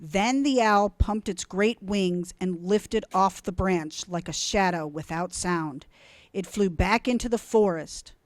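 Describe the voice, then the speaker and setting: A woman reading a children's storybook aloud in an expressive, deliberate, dramatic way. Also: mid-range voice, no room sound. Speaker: storybook reader.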